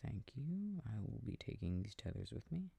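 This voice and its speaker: Affectionate boyfriend, played by a man, for sleep-aid ASMR roleplay: breathy, gravelly, soft rambling murmur, very close and dry. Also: on the low side, sing-song, normal speed, no echo, full character voice. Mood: absorbed, mildly puzzled. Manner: murmured, rambling, gently bemused. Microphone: close microphone.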